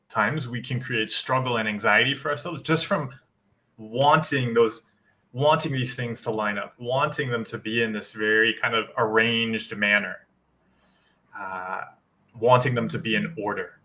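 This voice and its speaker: Bright-voiced man explaining thoughtfully. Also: lowish voice, fairly even intonation, measured pace. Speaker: guest. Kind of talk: live-stream Q&A chat.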